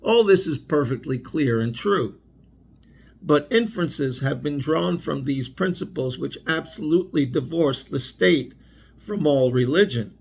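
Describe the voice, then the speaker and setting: A man with a mid-pitched voice, full of gravel and rasp, reads a religious text aloud as a lecturer. His delivery is formal and deliberate. He leans on key phrases with a teacher's emphasis. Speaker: lecturer reading and commenting on a religious address. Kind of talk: read-aloud religious lecture with spoken asides.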